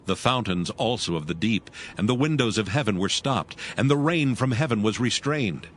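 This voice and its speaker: A man narrating scripture from a script in a measured, solemn way. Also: lowish voice, lively intonation, a little hiss or hum. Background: music.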